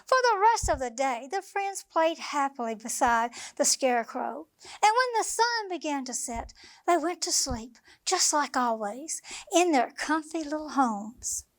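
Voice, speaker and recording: A woman storyteller reading a picture book aloud for young children at bedtime, with an expressive, sing-song delivery. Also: high-pitched, measured pace, no room sound.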